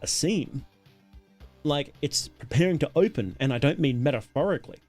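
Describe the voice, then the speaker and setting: A man's voice: a little high, lively intonation, moderate pace, a little mellow, soft background music. A man voicing an exhausted, guarded woman scientist with terse, hesitant speech in a dramatic horror story reading. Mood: uneasy, doubtful.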